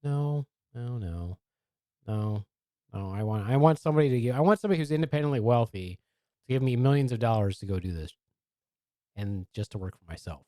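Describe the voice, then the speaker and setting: Teasing podcast host, lively pitch. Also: male voice, a little fast, no room sound, studio quality. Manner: teasing, rambling with restarts. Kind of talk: casual podcast banter.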